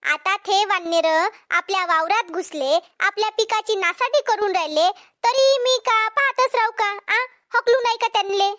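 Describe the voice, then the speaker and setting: A woman's voice: very high voice, moderate pace, few pauses, fairly bright tone. A woman voicing a flustered, scolding village farm wife in a cartoon comedy, in Vidarbha Marathi dialect with a childlike voice. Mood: complaining. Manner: dramatic, complaining, repeats herself.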